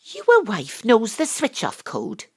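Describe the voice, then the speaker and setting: A woman's voice: fairly high pitch, wildly swooping pitch, slow pace, breathy edge, exaggerated voice. An actress playing a dry, sardonic woman detective who questions suspects and narrates her case in an audio drama. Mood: probing, brisk.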